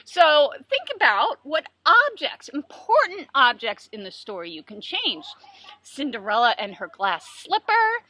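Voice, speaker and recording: High, lively woman giving playful tips. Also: unhurried, slight background noise. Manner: playful, self-deprecating, animated. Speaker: children's book author. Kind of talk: casual tips video.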